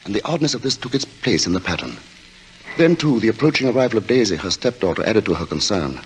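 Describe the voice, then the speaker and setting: A man narrating a suspense story in 1940s radio style, grave and deliberate, with dramatic pauses. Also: fairly low pitch, sing-song, a little hiss or hum, low-quality recording. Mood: ominous, tense. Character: grave storyteller of a creeping murder mystery.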